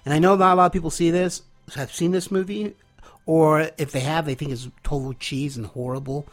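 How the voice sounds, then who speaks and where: A man's voice: on the high side, steady tone. A man in his thirties from California, a little congested, leaving an enthusiastic recorded comment for a podcast. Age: 30s. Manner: enthusiastic, nostalgic, with filler pauses. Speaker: caller leaving an audio comment. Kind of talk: casual recorded message.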